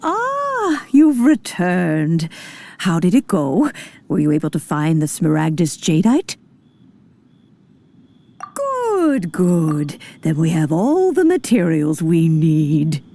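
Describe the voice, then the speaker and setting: A woman voicing a kindly old granny in a video game, fussing and gently chiding. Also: on the low side, huge pitch swings, takes it slowly, slight background noise, full character voice. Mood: eager, pleased. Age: older.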